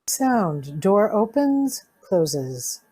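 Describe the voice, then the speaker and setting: Woman announcing sound cues, very slow, flat delivery. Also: mid-range voice, slightly rough. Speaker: sound effects reader. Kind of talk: reading stage cues aloud.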